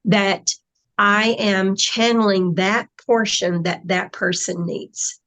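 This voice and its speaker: A woman's voice: mid-range voice, fairly even intonation, takes it slowly, fairly bright tone. A woman in casual conversation, explaining her spiritual channeling in a reflective way, with trailing phrases.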